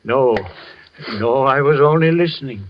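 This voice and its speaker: A man's voice: medium pitch, unhurried, a little hiss or hum, close to an everyday voice. An actor playing a fanatical, ominous old handyman who preaches doom in a 1940s radio suspense drama. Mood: ominous, fervent. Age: older. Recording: muffled old radio recording.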